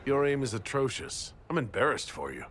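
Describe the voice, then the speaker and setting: A man acting a composed, dry-witted leader of a holy military order in a video game, measured in manner. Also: mid-range voice, big pitch swings, fairly bright tone, a little hiss or hum. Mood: dryly teasing.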